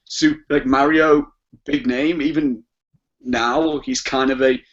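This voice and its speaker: A man's voice: fairly high pitch, fairly even intonation, slow, gravelly. A man chatting casually as a podcast guest, hesitant and repeating his words as he goes. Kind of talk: casual chat. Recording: muffled, lo-fi podcast audio.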